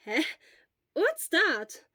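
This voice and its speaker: A woman voicing a loud, excitable teenage schoolboy in an audiobook, with an exclamatory, whiny, theatrical manner. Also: very high-pitched, very slow, no room sound, clean studio sound, pitched above the performer's own voice, exaggerated voice. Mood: wary. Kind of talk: acted audiobook dialogue.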